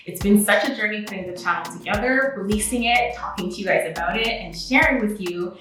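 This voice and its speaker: A woman's voice: medium pitch, recorded from a distance. A young adult woman who speaks French as her first language, chatting upbeat and casual to the camera as a makeup artist and beauty vlogger. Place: indoor home studio with a makeup setup. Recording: echoey room, mic set back.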